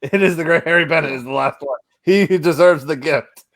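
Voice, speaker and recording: A man's voice: a little high, a little fast, fairly bright tone. A man with a mixed Northern Californian and East Tennessee accent, co-hosting a casual podcast and joking and laughing a lot. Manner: jokey, laughs often.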